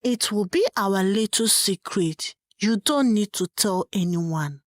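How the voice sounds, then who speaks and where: A woman voicing a soft-spoken adult man, an uncle who talks in a hushed, coaxing way. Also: medium pitch, slow pace, no echo, clean studio sound, natural voice.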